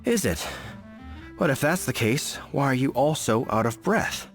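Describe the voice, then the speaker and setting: A man's voice: mid-range voice, sing-song, unhurried, soft background music. A man voicing an earnest, fussy knight in a video game, hesitant and formal. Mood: suspicious. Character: earnest, fussy middle-aged male knight.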